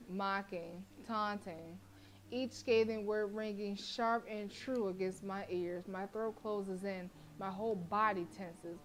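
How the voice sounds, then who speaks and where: Woman streamer reading a horror game aloud. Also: mid-range voice. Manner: dramatic reading broken by profane, joking asides.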